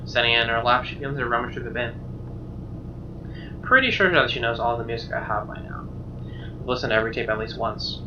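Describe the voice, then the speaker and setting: A man's voice: medium pitch, brisk, long pauses, noisy background. A man reading visual novel narration aloud as a streamer, conversational, occasionally stumbling, with casual asides. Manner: conversational, stumbles over read lines. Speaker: let's play streamer reading game narration. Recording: muffled, distant mic. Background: guitar music.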